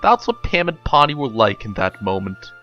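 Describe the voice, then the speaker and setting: Animated male narrator, bright forceful voice. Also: mid-range voice, moderate pace, highs cut off, faint music behind. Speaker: narrator reading a book aloud. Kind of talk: dramatic reading of a comedic story.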